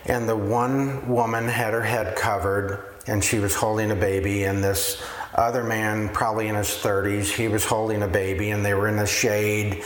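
A man guest slowly telling a friendly story about meeting a family, with an easygoing, affirming manner. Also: lowish voice, flat delivery, reverberant. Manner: slow, chatty, affirming with many yeahs. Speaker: ministry guest. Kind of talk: casual storytelling.

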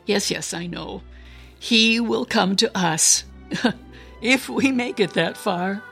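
A woman voicing a devout, stubborn old woman in a dramatic reading, husky and breathy, urgent yet wryly affectionate. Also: mid-range voice, takes it slowly, a bit bright, soft background music, exaggerated voice. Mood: breathless wonder.

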